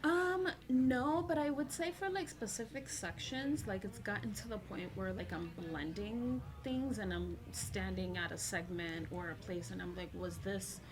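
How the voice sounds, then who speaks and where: A woman's voice: medium pitch, measured pace, hardly any pauses, crowd noise. A woman whose first language is not English, an ultrarunner guest chatting casually in an interview and filling her talk with "like". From New York. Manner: chatty, heavy use of "like", animated when quoting herself.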